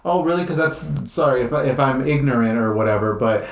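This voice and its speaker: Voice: mid-range voice, fairly quick. A podcast co-host in casual chat, easygoing and wry. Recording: echoey room.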